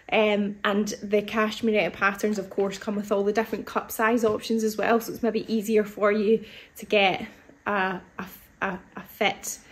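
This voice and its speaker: A woman in her thirties hosting a live sewing question session from her fabric shop, chatting casually with lots of ums and restarts, her voice a little hoarse from a cold. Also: mid-range voice. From United Kingdom. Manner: chatty, thinks aloud with many ums and restarts. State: slightly hoarse with an oncoming cold. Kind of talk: casual live-stream chat answering viewer questions. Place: fabric shop floor with fabric bolts and dress forms, indoors. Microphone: phone or webcam at desk distance.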